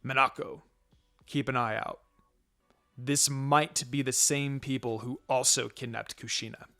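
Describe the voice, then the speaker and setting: A man's voice: mid-range voice, fairly even intonation, unhurried, creaky, pushed and bright, soft background music. Man reading as a grave old village leader. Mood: grave, commanding. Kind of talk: amateur fan fiction character reading.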